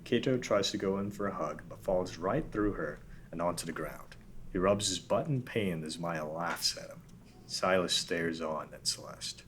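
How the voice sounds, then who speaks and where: A man reads the stage directions of a script plainly at a table read. His voice is very deep. Faint room noise sits behind him. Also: moderate pace, fairly bright tone. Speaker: narrator.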